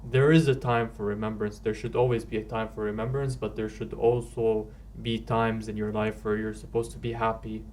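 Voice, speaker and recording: A man hosting a podcast, asking questions in a measured, hesitant way with many "uh"s. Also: lowish voice, level tone, darkish tone, slight background noise. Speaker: podcast host. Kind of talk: casual interview.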